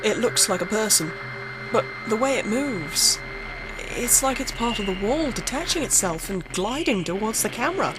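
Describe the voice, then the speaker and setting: A woman's voice: a little high, expressive, normal speed, noisy background. A woman reading a first-person horror story aloud in a dramatic, suspenseful, acted-out way. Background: music.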